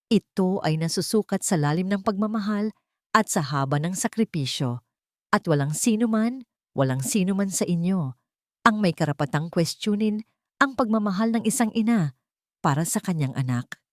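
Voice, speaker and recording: A woman voicing a commanding, protective male CEO in an audio romance story, speaking gravely, with deliberate emphasis. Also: medium pitch, expressive, dry recording, studio quality, natural voice. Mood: intense, righteous.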